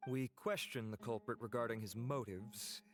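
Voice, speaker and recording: A man's voice: medium pitch, moderate pace, faint music behind, lightly acted. A man playing a dutiful officer in a video game, giving a report in a formal, careful, explanatory manner. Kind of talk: English game voice acting.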